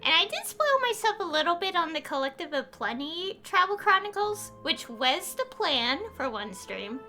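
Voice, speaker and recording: A woman's voice: very high-pitched, sing-song, normal speed, pushed and bright, faint music behind. A woman chatting casually and informally on a game livestream, rambling a little as she goes. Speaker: game streamer.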